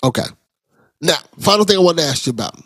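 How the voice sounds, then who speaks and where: A man's voice: fairly high pitch, expressive, fast, fairly bright tone, slightly rough, no room sound, studio quality. A man hosting a casual podcast interview in an enthusiastic, encouraging way. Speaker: podcast host.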